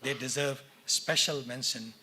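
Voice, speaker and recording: A man's voice: mid-range voice, fairly even intonation, pushed and bright, slight background noise, poor audio. Formal Indian man reading a convocation speech. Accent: Indian English. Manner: measured, formal, reads names and titles deliberately. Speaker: department head speaking at a convocation. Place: lecture theatre.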